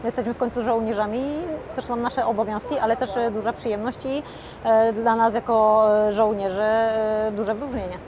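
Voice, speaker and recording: A woman in her thirties, a boxer, answering interview questions in a calm, measured way that trails off softly. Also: fairly high pitch, loud music behind the voice. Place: outdoors by a glass building. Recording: outdoor city noise behind.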